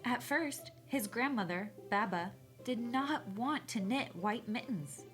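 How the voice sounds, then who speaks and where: Female storybook narrator for young children, unhurried and expressive, measured phrasing with stressed key words, faint music underneath. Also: on the high side. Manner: measured, clearly paced storytelling with emphasized words.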